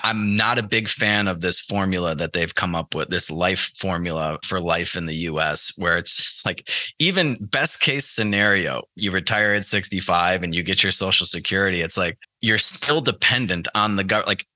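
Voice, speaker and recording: A man's voice: low-pitched, moderate pace, sharp tone, dry recording. A man speaking casually and with opinions as a guest in an interview, in an informal conversational way. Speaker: podcast guest, trading teacher. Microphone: close mic on an internet call.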